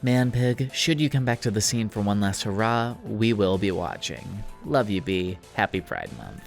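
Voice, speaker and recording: A man's voice: fairly low pitch, lively intonation, normal speed, gravelly. Wry, slangy man narrating over music. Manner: self-deprecating, wordy, wry, slangy. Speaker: YouTube video essayist. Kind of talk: casual scripted video narration.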